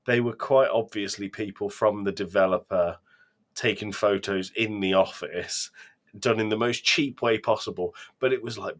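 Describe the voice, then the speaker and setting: A man's voice: on the low side, moderate pace. A man co-hosting a podcast, chatting casually with an enthusiastic, emphatic way of talking. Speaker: podcast co-host. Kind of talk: casual chat.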